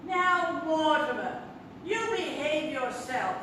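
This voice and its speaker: A woman's voice: high-pitched, expressive, takes it slowly. A woman playing a sweet, unflappable elderly aunt in a live stage comedy, genteel, fussy and matter-of-fact. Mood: cheerful, scolding. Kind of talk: stage comedy radio-theatre acting. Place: live theatre, distant microphone. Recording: roomy, noisy stage recording from far off.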